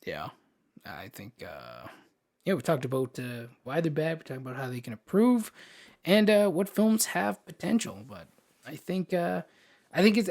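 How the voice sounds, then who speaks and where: A man's voice: a little high, a little mellow. A man hosting a podcast, chatting casually and informally about films, with slangy phrasing and some mild swearing. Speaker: podcast host. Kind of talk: casual film podcast chat.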